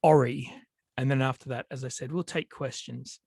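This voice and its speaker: A man's voice: medium pitch, steady tone, normal speed, gravelly, darkish tone, slightly rough, no room sound, clean studio sound. A man with a head cold, giving a storytelling talk over a video call, with lots of fillers and restarts. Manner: hesitant, many fillers and restarts. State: sniffly with a cold.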